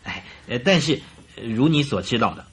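An actor playing a stiff, uneasy father in his forties in a Mandarin radio drama, raspy, courteous and halting. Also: medium pitch, lively intonation, a little hiss or hum, lightly acted. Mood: embarrassed. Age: 40s.

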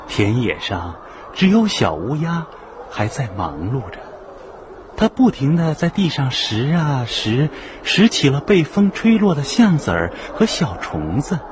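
A man narrating a children's radio drama in an expressive, scripted storytelling style. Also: mid-range voice, unhurried, noisy background.

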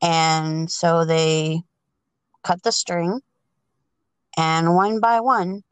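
A woman making up a story aloud, halting and feeling for words as she narrates and voices the dialogue. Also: fairly low pitch, steady tone, clean tone, no room sound. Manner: hesitant, halting, groping for words. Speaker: storyteller on a podcast. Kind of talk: improvised oral storytelling.

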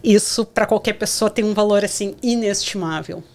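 A middle-aged woman from southern Brazil with a gaúcho accent, a podcast guest telling lively stories in casual chat. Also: medium pitch, normal speed. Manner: animated storyteller, acts out scenes. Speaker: guest, career counselor.

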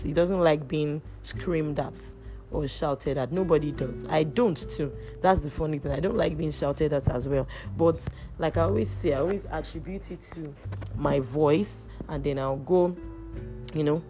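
A woman hosting a podcast, telling a personal story in a casual, loud, animated way with many restarts. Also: deep voice. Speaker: podcast host. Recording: music playing underneath. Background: guitar music.

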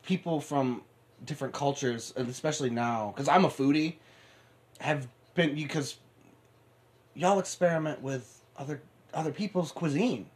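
A young man from upstate New York co-hosting a podcast, talking excitably, laughing often and swearing. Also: on the high side, moderate pace, pauses often. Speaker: podcast co-host. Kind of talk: casual chat.

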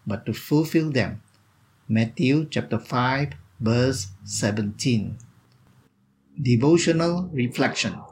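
A man reading a scripted devotional in a solemn, deliberate manner, phrase by phrase. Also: medium pitch, gravelly, a little hiss or hum. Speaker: devotional reader.

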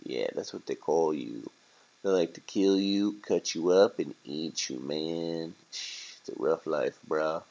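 A man's voice: rumbling low, slow, creaky, a little mellow, lo-fi sound, full character voice. A man voicing a jaded, laid-back street rat in a story, blunt but friendly and full of casual slang. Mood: blunt, matter-of-fact.

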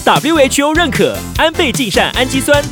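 A woman's voice: sits high, sing-song, normal speed. A woman reading an upbeat scripted radio advertisement as its announcer. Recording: music behind.

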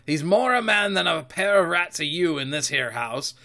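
A man voicing a domineering, menacing older pirate captain in rough seafaring dialect, blustering and commanding, for a storybook reading. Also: on the high side, expressive, normal speed, bright, forceful tone, voice pushed up, full character voice. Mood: roaring challenge. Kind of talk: audiobook character voice.

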